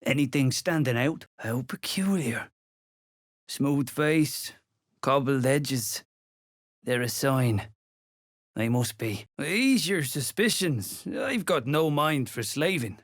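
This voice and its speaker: A man's voice: mid-range voice, expressive, takes it slowly, no room sound, studio quality. A man voicing a weary, scholarly dwarf stonemason in a video game, courteous and curious, with an ornate, musing way of talking. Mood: earnest. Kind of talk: video game character acting.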